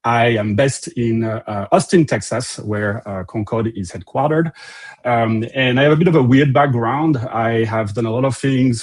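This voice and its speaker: A man's voice: mid-range voice, a little mellow. A middle-aged man with a French accent presenting a company webinar in a loose, conversational way, with frequent fillers and restarts. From France. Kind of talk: presentation to an online audience.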